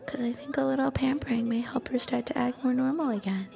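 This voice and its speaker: A woman plays an affectionate, bashful young student girlfriend in an intimate ASMR audio drama. Her voice is high and mellow, with a noticeable creak at the edges. She talks softly and hesitantly, often dropping to a breathy whisper. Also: quick pace, faint music behind. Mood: gentle, musing. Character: shy, sweet, affectionate young Japanese university student girlfriend. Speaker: ASMR roleplay performer. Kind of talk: soft-spoken ASMR girlfriend roleplay.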